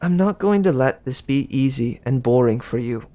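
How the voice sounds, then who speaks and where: A man's voice: mid-range voice, animated, normal speed, warm tone, close to an everyday voice. A man voicing a vain, doting goddess of love who sighs and talks breathily. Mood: swooning. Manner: breathy gushing, sighing.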